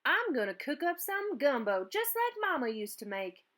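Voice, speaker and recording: A woman's voice: high-pitched, very animated, moderate pace, a bit bright. A woman doing a grumpy old alligator. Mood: grumbling. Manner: moaning, stubborn.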